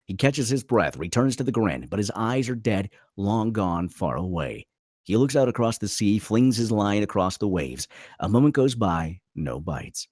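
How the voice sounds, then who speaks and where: A man's voice: on the low side, big pitch swings, normal speed, a little mellow, dry recording, clean studio sound. A man narrating a screenplay dramatically at a table read.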